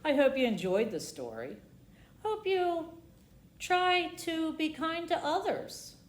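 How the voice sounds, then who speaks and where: A woman's voice: sits high, sing-song, slow pace. A woman reading a picture book aloud for children at storytime, theatrical and leaning on the colourful words. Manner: theatrical, emphasizing alliterative words. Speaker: library storytime reader. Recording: roomy, echoing sound.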